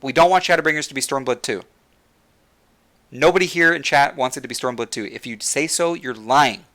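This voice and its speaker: Young male streamer, bright tense voice, fired-up and repetitive delivery, voice going hoarse. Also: mid-range voice, a little fast. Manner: passionate, repeats words while thinking. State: losing his voice. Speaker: livestream host. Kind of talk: casual gaming rant to chat.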